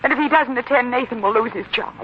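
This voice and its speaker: A woman's voice: a little high, fast, natural voice. A young woman acting an earnest, anxious bride who pleads for help in a 1940s radio drama. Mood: anxious, persuading. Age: young adult. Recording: muffled, noisy 1940s radio recording.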